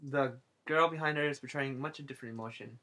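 A man's voice: medium pitch, fast, bright, forceful tone. A man in his late twenties chatting casually and jokingly while he draws, rambling and teasing his viewers. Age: 20s. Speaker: artist and channel host. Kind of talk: casual chat while drawing.

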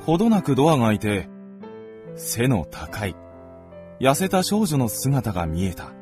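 A man playing a gentlemanly visitor who narrates his recollections in a literary radio drama. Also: medium pitch, wildly swooping pitch, measured pace, music in the background. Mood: reflective.

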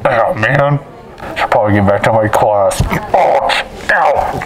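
A man in his twenties speaking through a megaphone in an awkward, deadpan prank style. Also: fairly low pitch, measured pace, slight background noise, poor audio. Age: 20s. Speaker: prankster and video host. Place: campus lawns and lounges, some distance from camera. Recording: megaphone voice amid background chatter.

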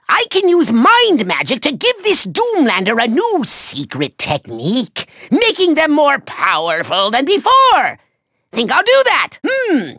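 Casting: childish megalomaniac video game overlord, shrill and forceful with a gritty rasp, theatrical, gloating, quick to whine. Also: male voice, dry recording, studio quality, exaggerated voice. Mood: smug, mocking. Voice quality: shrill, gravelly. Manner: grandiose, gloating, mocking, whiny outbursts. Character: pompous small male evil overlord, childish, hot-tempered, megalomaniac.

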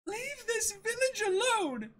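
A man's voice: very high-pitched, very animated, slow, fairly bright tone, voice pushed up, heavily acted. A man voicing a timid, earnest young rich girl, pleading, in an amateur dramatic manga reading.

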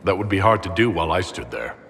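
An actor playing a composed, authoritative leader in a fantasy game, measured and serious in his delivery. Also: deep voice, sing-song, creaky, a bit bright, big-room sound, heavily acted. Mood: calm, probing. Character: composed, authoritative male leader of a holy army. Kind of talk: dramatic fantasy game dialogue.

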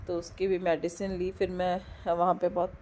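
Vlogger woman in her thirties, hoarse from a cold, a bit low, chatty voice-over, faint hiss behind. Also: completely flat delivery, moderate pace, darkish tone. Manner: chatty, loosely phrased, mixing English words into her talk. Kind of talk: casual vlog voice-over. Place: indoors at home, recording the voice-over. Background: a dog barking now and then.

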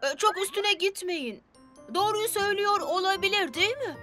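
A woman's voice: extremely high pitch, normal speed. A woman voicing an earnest, loyal, kindly young bus in a children's cartoon, with a slightly hesitant manner. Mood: gentle, hesitant. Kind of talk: dubbed children's cartoon acting. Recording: background music under the voice.

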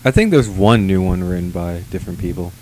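A young man with a slightly low voice co-hosts in relaxed everyday slang. He chats informally into a handheld microphone. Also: fairly quick, slight background noise. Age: young adult. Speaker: comic review show co-host. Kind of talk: casual chat.

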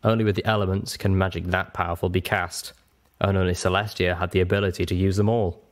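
A man's voice: deep voice, little pitch movement, quick pace, natural voice. A man voicing an ancient, lonely dragon guardian in a story reading, weary, blunt and grave. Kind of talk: audiobook character voice.

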